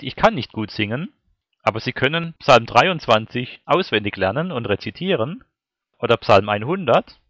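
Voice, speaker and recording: Prediger mit sehr lebhafter Sprachmelodie. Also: male voice, medium pitch, a bit bright, no echo, clean studio sound. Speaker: preacher. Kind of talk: sermon with scripture and verse readings.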